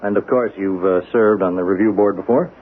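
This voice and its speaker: An actor playing a flustered, dismissive army general who harrumphs and repeats himself in a 1970s radio drama. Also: male voice, low voice, brisk, a little hiss or hum, natural voice. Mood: puzzled, brusque. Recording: muffled, phone-like old radio recording.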